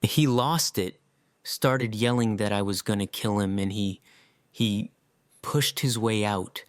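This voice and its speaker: A man acting an earnest, guilt-ridden survivor in an audio drama, with halting, emotional delivery. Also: on the low side, dry recording. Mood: distraught.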